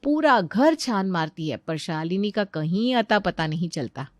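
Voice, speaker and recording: A woman's voice: on the low side, animated, unhurried, no room sound. A woman delivering scripted storytelling narration as the narrator of a family tale.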